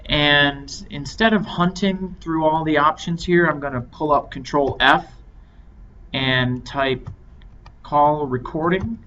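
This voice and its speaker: A man giving a measured, step-by-step software tutorial as an instructor. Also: on the high side. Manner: measured, explanatory step-by-step delivery. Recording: dull lo-fi microphone with clear noise.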